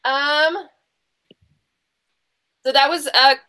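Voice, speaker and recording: A woman's voice: sits high, steady tone, drawn-out words, pushed and bright. A woman hosting a casual online book quiz in a chatty, encouraging way. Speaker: quiz show host.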